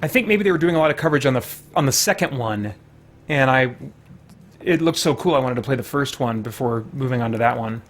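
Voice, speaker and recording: A man's voice: mid-range voice, expressive, fast talker. A man hosting a game livestream with casual, hesitant, rambling commentary. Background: game music.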